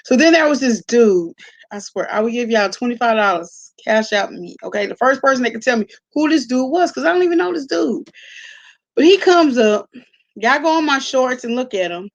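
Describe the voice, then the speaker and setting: Higher-pitched woman, casual gossipy vlogger. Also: expressive, normal speed, muffled recording. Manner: informal, gossipy, salty slang, rhetorical check-ins like "right?". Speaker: online commentary channel host. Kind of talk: casual chatty reaction vlog.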